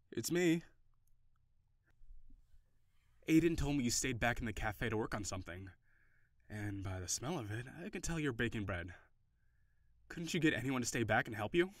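A man playing an overworked office boyfriend in an audio roleplay. He sighs often, curses when frustrated and softens into affection. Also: medium pitch, no echo, clean studio sound, natural voice. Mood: tender. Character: stressed, overworked office boyfriend, gruff but tender. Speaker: voice actor.

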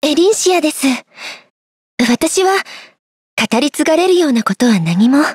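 A woman playing a graceful, humble young warrior queen in a video game, polite, soft-spoken and earnest. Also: sits high, big pitch swings, normal speed, no room sound, studio quality. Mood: gentle, modest. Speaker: game voice actor. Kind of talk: scripted Japanese video game character lines.

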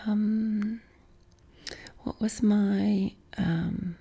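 A middle-aged woman from West Virginia with a softened Appalachian accent, whispering an unhurried question-and-answer chat from her living room couch, close to the mic. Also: mid-range voice, fairly even intonation, slightly rough, highs cut off. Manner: unvoiced whisper, unhurried with many ums. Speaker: ASMR creator. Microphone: close mic, camera framed on torso.

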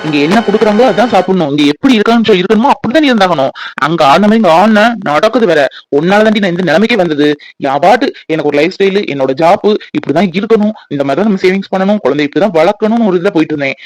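Guy doing a fed up husband ranting fast, high voice, accusing, family drama. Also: lively intonation, dry recording, low-quality recording. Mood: angry, accusing. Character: bitter, exasperated husband scolding his wife.

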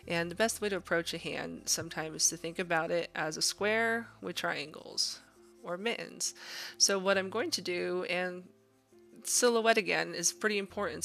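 A woman's voice: fairly low pitch, gravelly, fairly bright tone. A woman art instructor narrating an informal drawing tutorial, explaining conversationally as she thinks aloud.

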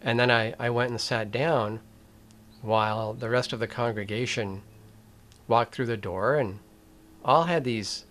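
An older man speaks at a very unhurried pace, weighing each phrase before he goes on. His pitch sits in an ordinary middle range, and he talks with pauses, restarts and dry asides. It sounds like he is at a desk in a small room, close to a webcam. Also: a bit bright. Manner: deliberate, with pauses and restarts, wry. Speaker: video essay host. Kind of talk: slow reflective commentary to camera.

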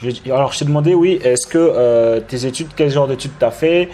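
A man in his thirties hosts a live online interview from a room at home. He talks loosely and warmly to his viewers, full of fillers and restarts. The sound comes through a webcam on a video call. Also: mid-range voice, moderate pace. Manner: relaxed, chatty, many fillers and restarts. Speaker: interview host. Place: indoor room with lamp and wall art.

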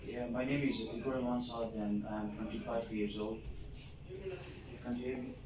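A man's voice: medium pitch, little pitch movement, normal speed. A man in his twenties answering job interview questions over a video call, hesitant with many fillers, in a small office. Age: 20s. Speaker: job applicant. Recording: echoey room, distant mic, noisy.

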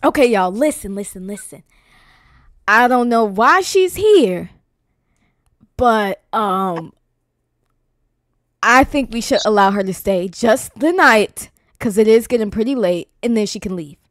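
A woman's voice: fairly high pitch, expressive, measured pace, long pauses, no echo, studio quality. A woman playing a flustered granddaughter and household peacemaker in an improvised online roleplay, casual and exasperated. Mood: bossy, hushed.

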